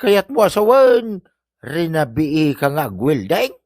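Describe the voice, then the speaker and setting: A man's voice: fairly high pitch, very animated, slow pace, darkish tone, no echo, studio quality, full character voice. A man with an Ilocano accent playing a teasing, nosy buddy in a village comedy drama, full of exclamations.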